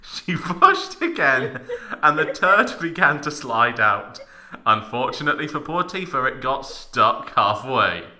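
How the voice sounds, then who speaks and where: Young man, theatrical comic narrator, animated intonation, sharp forceful tone, exaggerated emphasis, roomy echo. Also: medium pitch, a little slow. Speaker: podcast narrator. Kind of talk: mock-dramatic comic reading of fan fiction.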